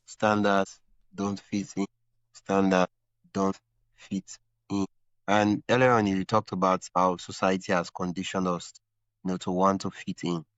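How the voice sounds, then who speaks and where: A low-voiced man hosts a podcast conversation, working through his thoughts in long, winding follow-up questions. His audio is slightly dull and lo-fi, with a dry, close sound. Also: little pitch movement, a little slow, a bit bright, no echo. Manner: thinks aloud at length, rambling follow-ups. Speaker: podcast host. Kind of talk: conversational interview.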